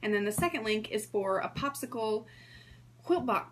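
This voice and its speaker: A woman in her thirties hosting a sewing livestream in a hoarse voice, chatting casually and greeting viewers by name. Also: a little high, animated, moderate pace. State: hoarse from strep throat.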